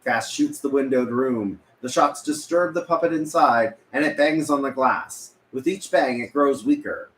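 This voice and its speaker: A man's voice: medium pitch, moderate pace, few pauses, fairly bright tone. A man reading scripted narration as narrator at a table read. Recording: echoey room, faint background noise.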